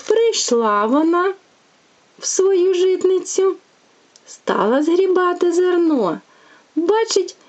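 A woman storyteller reading a fairy tale to a small child, gently and expressively, speaking directly to the young listener. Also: on the high side.

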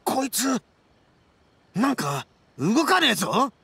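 An actor voicing a brash, boastful young male oni gang boss in a game, with rough, swaggering, melodramatic speech. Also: very high-pitched, sing-song, takes it slowly, a bit bright, full character voice. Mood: disbelieving. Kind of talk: Japanese game voice acting.

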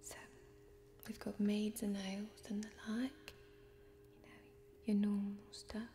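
Young woman doing a friendly tavern barmaid, asmr roleplay, slow soft whispery talk, mellow, close mic. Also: mid-range voice, completely flat delivery, a little hiss or hum, lightly acted. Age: young adult. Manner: soft-spoken, whispery, unhurried. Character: friendly fantasy tavern barmaid, chatty and homely. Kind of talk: ASMR fantasy role-play. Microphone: close microphone.

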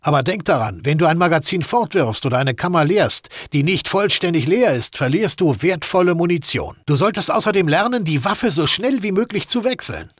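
A middle aged mob weapons guy in a game, raspy highish voice, streetwise, explains stuff plainly, dry close sound. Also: animated, fairly quick, few pauses, dry recording. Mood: instructive. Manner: instructive, streetwise. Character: matter-of-fact middle-aged mafia weapons man, mentoring. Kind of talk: video game voice acting.

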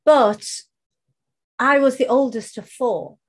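A woman's voice: high voice, breathy edge. Earnest British woman telling her story. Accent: British English. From United Kingdom. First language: Bengali. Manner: storytelling, earnest, slows down to stress points. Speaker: guest. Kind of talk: casual interview conversation.

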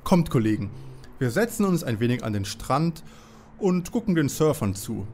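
A man's voice: mid-range voice, very animated, normal speed, a little mellow, slight background noise. An actor playing an eager, polite young male detective in a parody audio drama. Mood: matter-of-fact.

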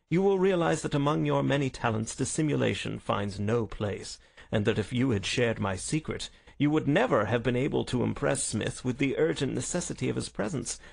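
Storybook detective, played by a male reader: self-assured, wry, theatrical, precise diction, husky and creaky. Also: medium pitch, expressive, normal speed, exaggerated voice. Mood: self-satisfied, explaining. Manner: precise, lofty, courteous. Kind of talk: dramatised audiobook reading.

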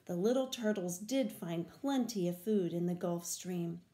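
An older woman reading a children's picture book aloud in a deliberate, expressive way, stressing key words. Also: on the low side, roomy sound. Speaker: read-aloud storyteller. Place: small room at home, indoors.

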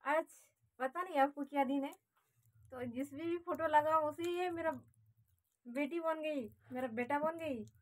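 A woman's voice: high voice, a little slow. A young adult woman with an Indian accent, talking casually on a phone call from a small room at home. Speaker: prank caller.

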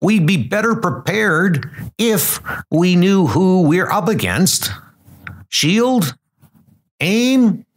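Guy doing a nervy army scout in a comic dub, higher voice, clipped radio talk. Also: lively intonation, drawn-out words, a little hiss or hum, heavily acted. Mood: alarmed, urgent. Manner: clipped radio-call delivery. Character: anxious male military scouts and squad soldiers.

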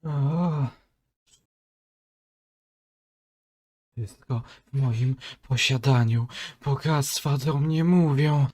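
A man's voice: mid-range voice, slow pace, a little mellow. A man voicing a pompous, anxious, greedy royal deputy in a cartoon fan dub, speaking in theatrical, archaic, flowery phrases. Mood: dazed, deferential. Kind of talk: fan-dub comic acting. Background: music.

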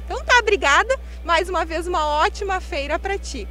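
A woman's voice: very high voice, a little fast, pushed and bright. A young woman with a southern Brazilian accent reporting live as a TV field reporter, speaking through a face mask into a handheld microphone. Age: young adult. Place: outdoors in a park at a fair.

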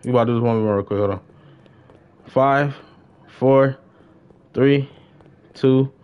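A young adult man chatting casually as he streams a game, slangy and excitable, often repeating his phrases. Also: mid-range voice, takes it slowly, clean tone, muffled recording. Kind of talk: casual livestream gaming chat.

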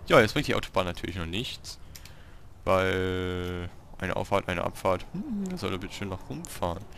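Man, lowish pitch, bright tone, casual let's play commentary, halting remarks to himself. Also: animated, gravelly, slight background noise. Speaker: let's player hosting a city-building game series.

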